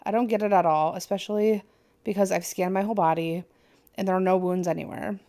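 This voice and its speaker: A woman's voice: medium pitch, steady tone, fairly quick, mellow. A woman co-hosting a casual podcast, reading an online post aloud with little asides and stumbles. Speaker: podcast co-host.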